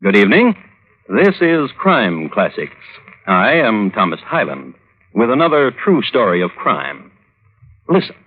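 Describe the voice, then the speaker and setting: An actor playing a dry, droll connoisseur of crime, hosting and narrating in ornate, wry, deliberate old radio style. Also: male voice, on the low side, huge pitch swings, breathy edge, exaggerated voice. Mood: wry, measured. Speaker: host and narrator. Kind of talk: 1950s radio scripted narration. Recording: old narrowband radio recording with music.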